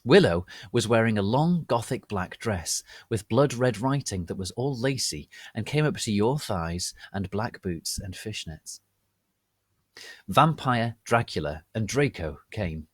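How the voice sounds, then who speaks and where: A man narrates fan fiction as a dramatic reading. His voice sits fairly low yet swings expressively, and he takes his time with theatrical deliberation. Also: animated, unhurried, husky, dry recording.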